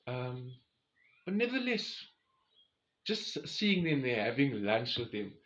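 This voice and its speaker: A man's voice: medium pitch, sing-song, slow, a bit bright. A man of about forty with a South African accent, telling a personal story in a casual, conversational way and addressing his listeners directly.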